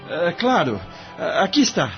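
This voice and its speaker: A man's voice: high-pitched, wildly swooping pitch, a little slow, a bit bright, exaggerated voice. A Brazilian man playing a young, idealistic novice lawyer in an audio drama, polite, earnest and hesitant. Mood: nervous. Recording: dull recording with faint music bed.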